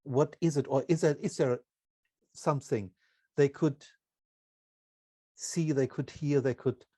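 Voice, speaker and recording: A man, a native German speaker, interviewing in a conversational way with halting, deliberate, broken-up phrasing. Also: fairly high pitch, steady tone, mellow, no room sound.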